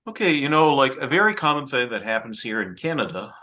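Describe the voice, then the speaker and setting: A man's voice: medium pitch, sing-song, fast talker, sharp tone. A man hosting an interview, asking long questions hesitantly with many fillers. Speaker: interviewer, host.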